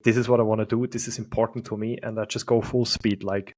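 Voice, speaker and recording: A man's voice: fairly low pitch, level tone, fast, a little mellow. A man from Switzerland with a Swiss accent, a podcast guest who talks casually with many fillers and restarts. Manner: hesitant, filler-heavy, says "like" often. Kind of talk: casual conversation.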